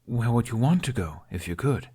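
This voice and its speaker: A man's voice: medium pitch, big pitch swings, moderate pace, mellow, husky, voice pushed up. A man playing a guarded, teasing young man from a fantasy story who hides his homesickness behind wry understatement. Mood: warm.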